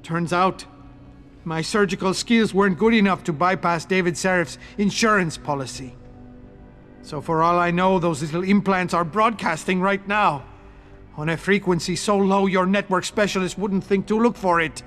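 An actor playing a guilt-ridden, betrayed middle-aged surgeon in a video game, with a formal, anguished delivery. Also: male voice, high voice, moderate pace, a bit bright, soft background music. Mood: bitter regret. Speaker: former surgeon. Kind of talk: video game cutscene acting.

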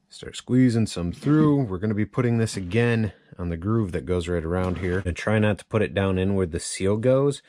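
A man's voice: low-pitched, warm tone, dry recording. A man giving a casual hands-on repair tutorial, thinking aloud informally as he works at a workbench. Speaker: chainsaw repair demonstrator. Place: cluttered indoor workshop at a workbench.

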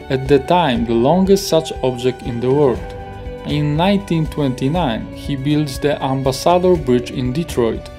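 A man in his thirties with a Polish accent, hosting a history channel and reading a scripted narration carefully from a home office on a lapel mic. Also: mid-range voice. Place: home office with desk and plant.

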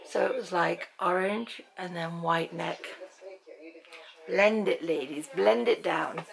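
A woman in her fifties from Britain with an English accent, chatting to a handheld camera in a dim room at night. Her voice is croaky, and she rambles and laughs often. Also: lowish voice, slow pace. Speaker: vlogger. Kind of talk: casual chat to camera. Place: bedroom at night, dim lamp light. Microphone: handheld camera at arm's length.

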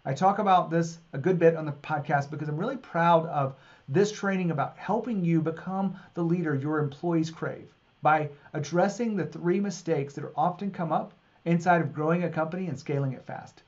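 A man's voice: fairly high pitch, normal speed, a little mellow, muffled recording. A man in his forties hosting a business podcast, talking in an upbeat, direct way from a home office on a studio mic. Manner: upbeat, promotional, direct address. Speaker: podcast host. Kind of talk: podcast intro and interview. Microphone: studio mic on boom arm.

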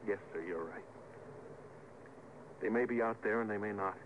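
A man's voice: on the low side, normal speed, lightly acted. An actor playing an earnest, deferential starship officer who reasons with his superior in a vintage radio drama. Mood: subdued, doubtful. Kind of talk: mid-century radio drama acting. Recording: muffled, hissy vintage radio recording.

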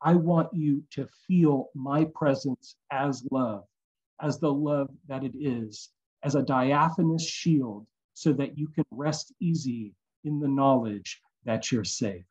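Male poet, thirties, unhurried recital, slightly raspy voice, dull call audio. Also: mid-range voice, a little mellow. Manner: measured, deliberate phrasing. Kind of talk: poetry reading. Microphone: video call.

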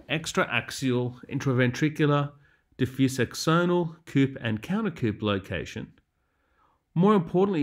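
Man giving an instructional lecture. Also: medium pitch. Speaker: lecturer in radiology. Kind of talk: scripted lecture narration over slides.

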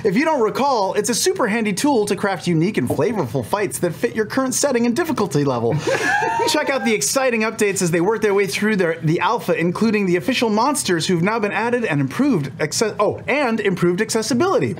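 A man around his forties doing an animated, comedic sponsor read live among friends in a studio. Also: high-pitched, fairly quick. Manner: animated, performative. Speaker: cast member presenting a sponsor segment. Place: studio set, indoors. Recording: clear background noise.

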